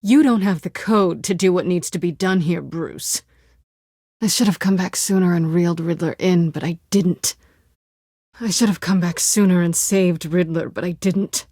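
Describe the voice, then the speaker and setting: A woman's voice: lowish voice, moderate pace, mellow, no room sound, clean studio sound. A woman voicing a sly, self-assured cat burglar in a video game, with a sultry, wry, coolly teasing way of talking. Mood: grim, determined. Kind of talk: video game voice acting, dramatic dialogue.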